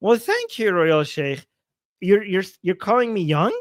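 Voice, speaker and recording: A man's voice: high-pitched, wildly swooping pitch, unhurried, dry recording, studio quality. Chatty, chuckling man in his forties. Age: 40s. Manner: playful, chuckling, repeats himself while reacting to chat. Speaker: livestream host. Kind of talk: casual livestream chat reading viewer comments.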